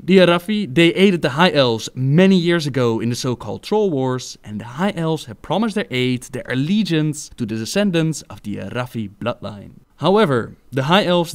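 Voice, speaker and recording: A man with a mid-pitched voice narrates a scripted lore video. He speaks as a storyteller presenting prepared material to an audience of viewers.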